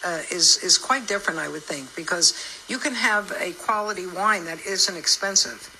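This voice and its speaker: A woman radio co-host with a bright, forward voice talks in a chatty, joking way. It is casual live interview chat, with faint noise in the recording. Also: lowish voice, moderate pace, rough recording. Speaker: morning radio show co-host.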